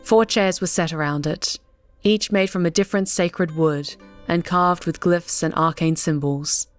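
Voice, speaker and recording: A woman's voice: fairly low pitch, steady tone, measured pace. A woman reading scripted story narration as an audiobook narrator. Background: soft music.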